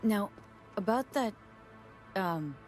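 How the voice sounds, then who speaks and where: A woman's voice: mid-range voice, lively intonation, slow, soft background music. A woman playing a tough, wry young mercenary in a video game, talking in casual slang with a guarded edge. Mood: subdued, awkward. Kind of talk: naturalistic video game voice acting.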